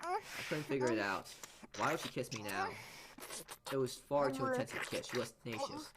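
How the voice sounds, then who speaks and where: A man reading a visual novel aloud as dramatic narration, halting often as he stumbles over and repeats words. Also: medium pitch, wildly swooping pitch, very noisy. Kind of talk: dramatic read-aloud narration for a let's play.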